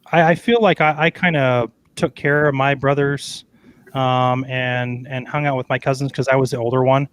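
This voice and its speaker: A man's voice: mid-range voice, no echo. A man hosting a casual parenting chat, often stumbling and repeating words as he talks. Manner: halting, repeats words, laughs easily. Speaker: host.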